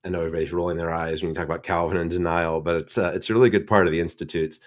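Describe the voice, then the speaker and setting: A middle-aged man with a very low voice talks through an idea slowly and reflectively. He speaks in long, winding sentences, as a professor does in casual discussion. The sound is a video call from his home office. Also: fairly even intonation. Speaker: seminary professor.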